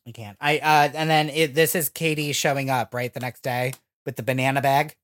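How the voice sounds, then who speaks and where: A woman's voice: rumbling low, fairly even intonation, brisk. A woman in her thirties co-hosting a podcast, ranting about reality TV with lots of swearing and acted-out quotes. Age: 30s. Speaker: podcast co-host. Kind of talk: casual reality-TV recap chat.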